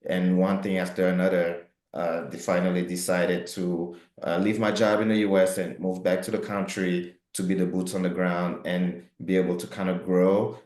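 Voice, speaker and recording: A very low, flat-voiced man from Cameroon tells his business story and stops often to restart his phrases. His voice rings in a roomy, echoing space. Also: normal speed, few pauses. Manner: hesitant, many fillers and restarts. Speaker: co-founder of a housing firm. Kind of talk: interview answer.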